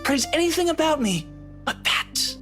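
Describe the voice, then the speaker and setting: A man's voice: very high-pitched, very animated, normal speed, a bit bright, soft background music, full character voice. An actor playing a vain, sardonic man in a visual novel, with dry sarcasm and bravado over self-loathing. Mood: cocky. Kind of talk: dramatic visual novel voice acting.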